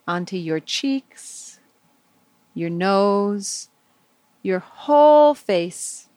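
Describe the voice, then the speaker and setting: A woman leading a guided visualization for young children, speaking in simple, soothing phrases with gentle pauses. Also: mid-range voice, no echo.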